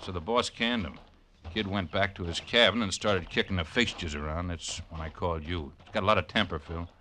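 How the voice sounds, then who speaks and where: An actor playing a brash, streetwise former boxer turned trainer, talking in punchy slang in a 1940s radio drama. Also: male voice, sits low, fairly quick, bright, forceful tone, slight background noise. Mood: urgent.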